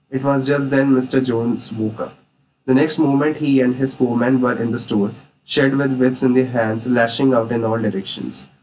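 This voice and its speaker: A man's voice: mid-range voice, slight background noise. Man reading a novel aloud, slightly distant. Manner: steady reading with small slips and restarts. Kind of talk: plain read-aloud narration.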